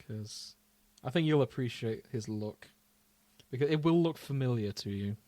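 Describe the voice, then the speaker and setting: A man's voice: medium pitch, expressive, normal speed, warm tone, no echo. A British man co-hosting a podcast, chatting casually about fan fiction. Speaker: podcast co-host.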